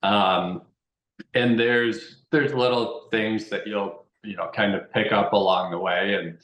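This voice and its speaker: A man's voice: lowish voice, fairly even intonation, slow pace, fairly bright tone. A man explaining things informally as a guest expert, repeating phrases for emphasis. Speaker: guest real estate investment expert. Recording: roomy, echoey sound.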